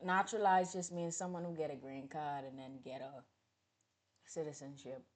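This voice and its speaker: Outspoken woman with a low voice. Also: normal speed. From Bahamas. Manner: animated, outspoken, jumps between loud outbursts and low mutters. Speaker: livestreamer. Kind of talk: casual livestream chat, greeting viewers.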